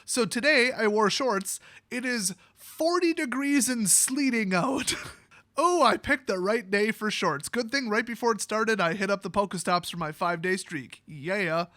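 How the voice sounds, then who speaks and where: American male vlogger, bright forceful tone, animated off-the-cuff storyteller, close dry sound. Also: sits high, measured pace, dry recording. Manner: animated, exaggerating, quotes people in acted-out voices. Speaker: YouTube vlogger. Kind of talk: casual rambling personal storytelling.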